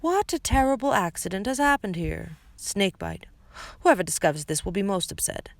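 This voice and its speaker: A scheming storybook villain, a grown man who sneers and taunts with theatrical menace, put on by a woman narrator. The voice climbs higher than her usual reading, with sudden sharp swings in pitch, in a dry, close recording. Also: big pitch swings, fairly quick, no echo. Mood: sneering. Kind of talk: audiobook character reading.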